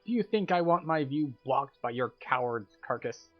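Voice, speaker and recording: A man's voice: medium pitch, expressive, normal speed, muffled recording, faint music behind, lightly acted. A man voicing an arrogant, contemptuous warrior quest giver in a fantasy game, pompous and theatrical. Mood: scornful.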